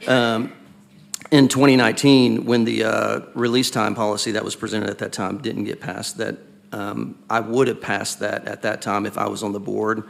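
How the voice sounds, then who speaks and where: A raspy man talks through a policy point at a board meeting. His low voice has a gravelly fry and stays fairly flat. He questions deliberately and restarts phrases as he builds his case. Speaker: school board member. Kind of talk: public meeting discussion.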